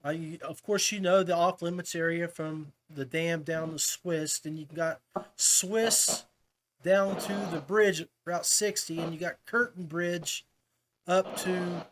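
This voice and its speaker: High-pitched man hosting a casual podcast. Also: steady tone, measured pace, a bit bright, a little hiss or hum, poor audio. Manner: chummy, folksy, appreciative. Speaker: podcast host. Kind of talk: casual interview chat.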